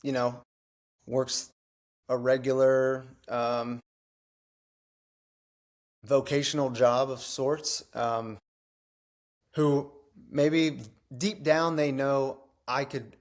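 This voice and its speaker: A man hosting a podcast interview in a casual, conversational style. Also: medium pitch. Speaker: podcast host, fitness trainer.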